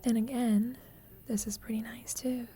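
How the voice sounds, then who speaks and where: Actress for affectionate, teasing young woman roommate with a crush; soft whispery ASMR delivery, highish pitch, unhurried and gentle. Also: flat delivery, close to an everyday voice. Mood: tender, confessional. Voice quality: soft, breathy, often whispered. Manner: playful, tender, slow and gentle. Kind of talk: ASMR roleplay, intimate sleep-aid audio.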